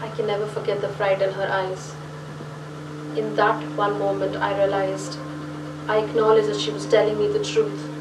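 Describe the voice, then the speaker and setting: A roomy, slightly distant recording with faint noise. A woman acts a troubled, well-to-do Indian mother in a film monologue. She speaks haltingly and emotionally, confiding her distress. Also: medium pitch, completely flat delivery, natural voice. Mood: shaken, resolved. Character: distraught upper-middle-class mother of a little girl. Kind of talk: dramatic monologue in a short film.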